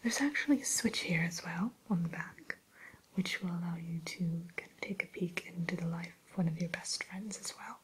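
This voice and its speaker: A woman's voice: lowish voice, normal speed, a little hiss or hum, natural voice, rough recording. A woman playing a refined, sly evil queen shopkeeper in a whispered ASMR roleplay, with coy, hesitant, genteel sales talk. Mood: selling, confiding. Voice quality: breathy, soft whisper. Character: refined, sly evil queen running an enchanted mirror shop.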